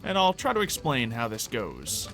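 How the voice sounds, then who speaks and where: A man with a mid-range voice hosts a gaming video over clearly audible background music. He comments casually on the gameplay, working through his thoughts aloud. His delivery is conversational and explanatory, like a player walking viewers through each step. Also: mid-range voice, huge pitch swings, moderate pace. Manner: thinks out loud, explanatory, conversational.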